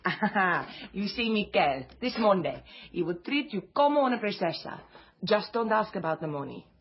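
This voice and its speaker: A woman's voice: mid-range voice, big pitch swings, moderate pace, slight background noise. A woman playing a bossy, flirtatious waitress in a radio drama, with a Latin American Spanish accent and brash, coaxing delivery sprinkled with Spanish. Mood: persuasive, brisk. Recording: slightly dull, lo-fi sound.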